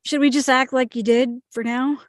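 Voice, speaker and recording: A woman's voice: sits high, steady tone, moderate pace, no room sound, clean studio sound, close to an everyday voice. A woman playing casual, supportive young men in a drama script table read. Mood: upbeat.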